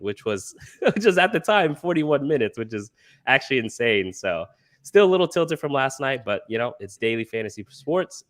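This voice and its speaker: A man's voice: mid-range voice, a bit bright. A congested man with a nasal sound, giving rambling, frustrated sports fantasy analysis. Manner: rambling, frustrated, filler-heavy. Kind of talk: casual analysis chat.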